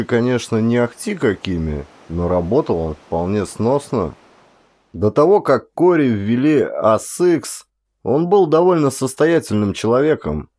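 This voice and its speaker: A man's voice: medium pitch, a little mellow. A middle-aged man reading a short story as an audiobook narrator, in a dramatic scripted style.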